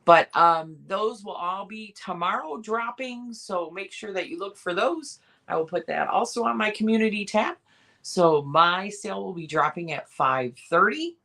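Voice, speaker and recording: A hoarse woman with a cough, hosting a casual live sale and chatting in a rambling way with many pauses and restarts. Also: medium pitch, animated, sharp tone. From Michigan, USA. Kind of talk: casual live-stream chat.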